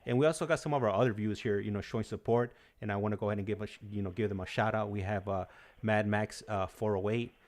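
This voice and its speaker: A man hosting a live call-in show in a casual, halting, agreeable way, often repeating himself. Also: fairly low pitch, steady tone, darkish tone. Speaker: host of a livestream call-in show.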